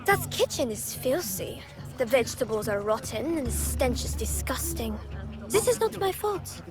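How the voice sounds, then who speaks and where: Sly, high-voiced defiant young woman. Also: big pitch swings, over music. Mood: indignant, defiant. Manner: quick-witted, sharp-tongued, playfully sweet. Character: sly, defiant young orphaned pirate's daughter. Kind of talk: dramatic game voice acting.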